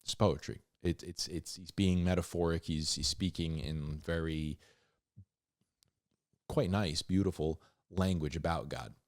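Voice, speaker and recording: A man hosting a Bible reading, mixing scripture with informal, slangy commentary and animated asides. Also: deep voice, measured pace, no echo, clean studio sound. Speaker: host of a Bible reading show.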